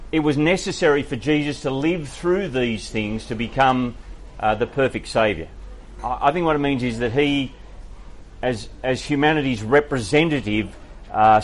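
A man's voice: mid-range voice, a little hiss or hum. Hesitant man answering questions. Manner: thoughtful, hesitant, many fillers and restarts. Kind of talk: Q&A sermon.

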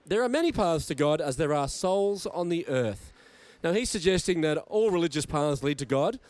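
A man's voice: on the high side, lively intonation, normal speed, a little mellow, dry recording. A man hosting a live interview show outdoors at a festival, upbeat and presenter-like. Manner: upbeat, presenter-style introductions. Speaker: show host.

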